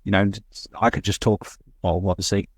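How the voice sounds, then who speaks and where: A man's voice: deep voice, little pitch movement, brisk, a little mellow, dry recording, clean studio sound. A man co-hosting a podcast, chatting casually and rambling with lots of fillers. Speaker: podcast co-host. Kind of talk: casual chat.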